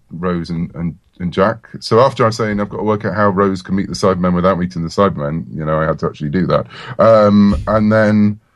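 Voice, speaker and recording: A man's voice: sits low, no room sound. A man chatting casually and enthusiastically as the guest in an interview, talking as a comic writer.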